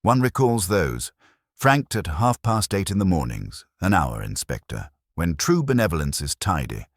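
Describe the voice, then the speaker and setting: A man's voice: low voice, very animated, moderate pace, no room sound, studio quality, exaggerated voice. A man voicing a prim, officious village postmistress in a storybook mystery, speaking with precise formality. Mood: officious, certain.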